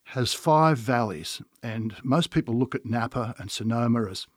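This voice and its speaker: A man with an Australian accent, a winemaker guest on a podcast, telling his story in a relaxed way with some restarts. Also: medium pitch, normal speed, no room sound, clean studio sound. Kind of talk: casual podcast interview.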